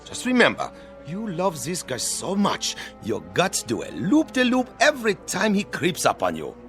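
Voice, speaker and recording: An actor playing a brisk, bossy film director with a theatrical manner, in a radio drama. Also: male voice, high-pitched, huge pitch swings, fairly bright tone, soft background music, full character voice. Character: excitable male film director.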